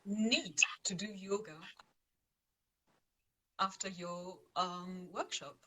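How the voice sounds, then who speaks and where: A woman from Austria, a guest sharing her experience over a video call, earnest and halting, repeating words as she searches for them. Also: mid-range voice, fairly even intonation, noisy background. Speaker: guest, former program participant.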